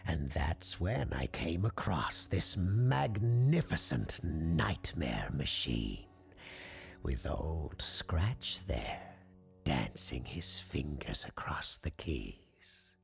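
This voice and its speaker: A man's voice: rumbling low, very animated, drawn-out words, vocal fry, faint music behind, full character voice. A man voicing a grandiose, obsessive circus owner in an audiobook, theatrical and rapturous, savoring each word. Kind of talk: audiobook character acting.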